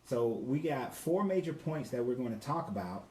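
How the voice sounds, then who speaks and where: A man's voice: mid-range voice, big pitch swings, normal speed, a little mellow. Man, forties, casual, slangy, echoey room, faint noise. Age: 40s. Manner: laid-back, slangy, talks to viewers by name. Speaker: co-host. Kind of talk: casual livestream talk.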